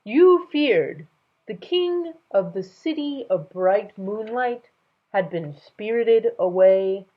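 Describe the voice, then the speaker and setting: A playful, commanding storybook king, as a woman reader puts him on, speaks with regal decisiveness and an amused edge. The delivery is emphatic and swings widely in pitch, with a husky grain and a somewhat dull recording. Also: animated, takes it slowly. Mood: laughing, mocking.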